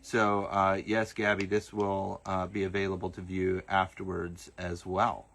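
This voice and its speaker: Man, soft Southern accent, halting livestream host, lower pitch, bright tone. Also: completely flat delivery, gravelly. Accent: Southern US, partly masked. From Southern United States. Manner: hesitant, many fillers and restarts. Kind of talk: casual livestream Q and A.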